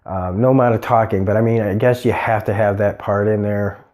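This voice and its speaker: A man with a cold gives a casual, unscripted TV review in a low, mellow, nasal voice. He rambles, with lots of ums, uhs and restarts. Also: steady tone, a little fast. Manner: rambling, many fillers and restarts. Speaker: TV review show host.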